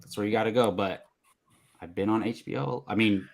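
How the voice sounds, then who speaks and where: Guy with a lowish voice just chatting on a movie panel, nothing fancy. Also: fairly even intonation, a little fast, gravelly. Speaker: panelist on a movie talk show. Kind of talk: casual chat.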